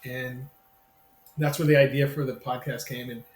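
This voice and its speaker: A man's voice: medium pitch, fairly even intonation, normal speed. A man in his fifties, a podcast guest chatting casually in a rambling, self-interrupting, friendly way. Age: 50s. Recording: roomy sound with faint ambient noise.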